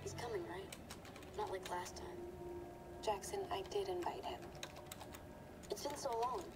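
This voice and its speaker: Gentle mother for a video game, soft hushed delivery, quiet beneath heavy music. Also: medium pitch, big pitch swings, measured pace. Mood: gentle concern. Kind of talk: video game cutscene acting. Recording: quiet game audio under loud music.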